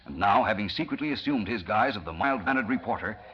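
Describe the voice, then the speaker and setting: An actor playing an urgent announcer, giving scripted narration for a 1940s radio serial. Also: male voice, lowish voice, lively intonation, quick pace, close to an everyday voice. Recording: muffled, noisy old radio recording.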